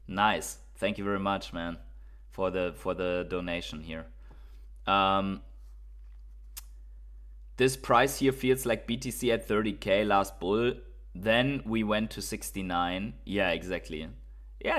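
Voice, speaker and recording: A man's voice: sits low, unhurried, long pauses, a bit bright. A man from Germany with a German accent, hosting a casual crypto livestream, reading viewer comments and thinking aloud with fillers and repeats.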